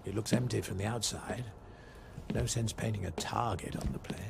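An actor playing a possessive, menacing older man in a video game, brooding and theatrical, with a gravelly voice. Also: fairly low pitch, moderate pace, noisy background. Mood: coaxing. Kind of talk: dramatic video game acting.

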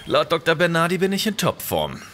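A man's voice: on the high side, huge pitch swings, fairly quick, fairly bright tone, slight background noise. A man playing a wry newcomer technician in a radio thriller, speaking conversationally. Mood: wry, relaxed. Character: easygoing, wry male technician newly arrived on a station. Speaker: actor in an audio drama. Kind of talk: radio play dialogue.

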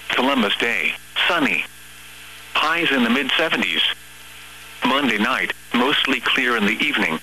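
A synthetic male text-to-speech voice reading weather radio forecasts and observations in a measured, formal, impersonal way. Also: medium pitch, pushed and bright, poor audio. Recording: weather radio broadcast with hum and static.

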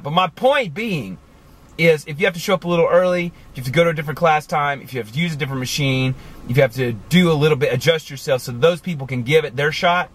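Chatty man vlogging from his car. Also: fairly high pitch. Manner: animated and chatty, with many asides. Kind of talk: casual motivational talk to camera. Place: inside a parked car. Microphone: camera on the dashboard.

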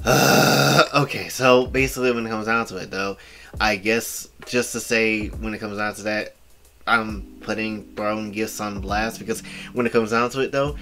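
A man in his early twenties hosting a casual anime art commentary, rambling with repeated filler phrases and sudden loud outbursts. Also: fairly low pitch, fairly even intonation, measured pace, vocal fry. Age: 20s. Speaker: channel host.

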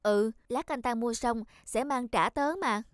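Woman playing a naive young cartoon lady, high voice, meek, sorry about everything. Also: unhurried, very noisy, close to an everyday voice. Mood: apologetic. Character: gullible, soft-hearted, apologetic young woman. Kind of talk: animated cartoon dubbing.

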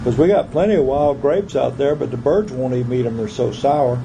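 A man casually interviewing his elderly aunt, curious and quick to chuckle. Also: mid-range voice, creaky, noisy background.

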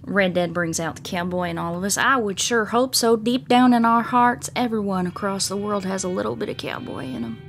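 A woman's voice: medium pitch, brisk, hardly any pauses, faint music behind, lightly acted. A woman livestreamer putting on a sassy, folksy cowgirl with a theatrical American Southern drawl and mock indignation. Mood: teasing. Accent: put-on American Southern. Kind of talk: in-character game stream chat.

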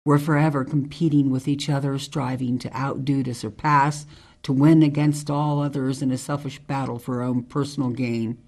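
Radio drama: tough female ex-military ranger, played by a woman, measured, earnest monologues, low-voiced for a woman. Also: mellow. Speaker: actor in an amateur radio drama.

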